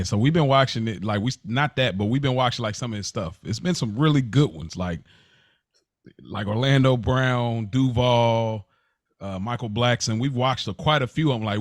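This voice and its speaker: A man's voice: medium pitch, normal speed, no room sound, clean studio sound. A man in casual, opinionated podcast discussion, talking slangily and repeating phrases for emphasis. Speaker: podcast co-host.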